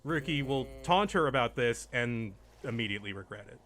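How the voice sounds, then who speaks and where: A man's voice: medium pitch, expressive, fairly quick, fairly bright tone, faint music behind. A man giving casual commentary as he plays through a video game.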